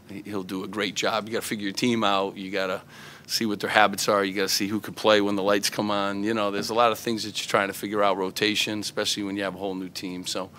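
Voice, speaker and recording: A man's voice: on the low side, sharp tone, slight background noise. A middle-aged man, a head basketball coach, answering reporters at a postgame press conference, earnest and full of fillers and restarts, into table microphones.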